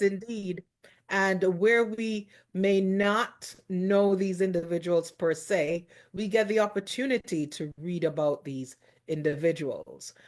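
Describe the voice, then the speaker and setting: A woman's voice: on the low side, a little mellow. Effusive woman hosting a show. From Jamaica. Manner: effusive, exclamatory. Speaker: host.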